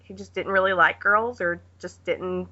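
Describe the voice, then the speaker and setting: A woman's voice: fairly low pitch, fairly even intonation, normal speed, sharp tone. A woman chatting casually about films as a podcast panelist, informal, offhand and blunt. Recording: dull lo-fi podcast audio.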